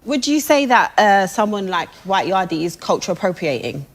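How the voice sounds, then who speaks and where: A woman's voice: medium pitch, sing-song, moderate pace. A woman talking casually as a panellist in a discussion, putting questions and points to others.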